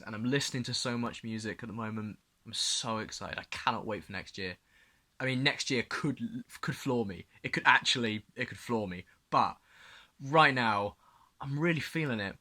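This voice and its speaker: A young man recording a video diary, earnest and excitable, repeating himself for emphasis. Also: on the low side, normal speed. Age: young adult. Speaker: vlogger.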